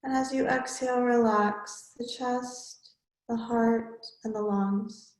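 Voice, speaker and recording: A woman yoga teacher leading a guided relaxation in measured, instructional short phrases with pauses between them. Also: fairly high pitch, fairly even intonation, roomy sound, poor audio.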